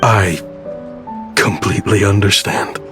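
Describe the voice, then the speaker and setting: A man's voice: lowish voice, slow pace. A man voice acting a weary, remorseful uncle from the desert in a video game, humble and hesitant. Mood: doubtful. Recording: music behind the voice.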